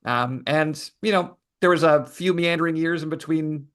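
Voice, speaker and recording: A man, an author guesting on a podcast, who tells his story in a relaxed, thoughtful way with lots of fillers. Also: a little high, normal speed. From Canada. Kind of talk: casual interview answers.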